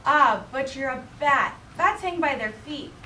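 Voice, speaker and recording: A woman voicing a brash, loud know-it-all bat from a storybook, assertive and emphatic. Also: high voice, lively intonation, unhurried, full character voice. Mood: challenging. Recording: roomy, distant mic with background noise.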